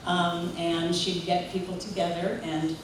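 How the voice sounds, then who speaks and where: A woman giving a warm, chatty tribute at a memorial gathering, telling stories and leading the room in song. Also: on the low side, level tone, a little slow. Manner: warm, chatty, leads group singing. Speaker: memorial speaker and singer. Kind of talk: heartfelt spoken tribute. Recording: echoey hall from far off, noisy room.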